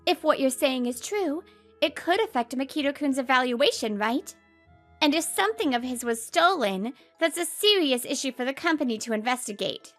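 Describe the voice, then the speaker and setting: A woman's voice: sits high, lively intonation, moderate pace, a bit bright, faint music behind. A woman voicing a poised, protective professional woman in a comic dub, speaking with composed assertiveness. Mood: stern.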